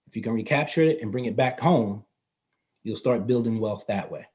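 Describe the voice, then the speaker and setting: A man in his fifties, a guest in a casual interview, explaining finance in a relaxed way and laughing easily. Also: fairly low pitch, moderate pace. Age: 50s. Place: North Carolina.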